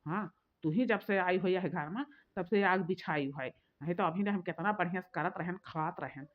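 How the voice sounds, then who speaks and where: A woman's voice: lowish voice, moderate pace, natural voice. Woman voicing a fiery Awadhi sister-in-law. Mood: furious. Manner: complaining, cursing, sharp-tongued. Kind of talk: cartoon voice acting. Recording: dull lo-fi recording.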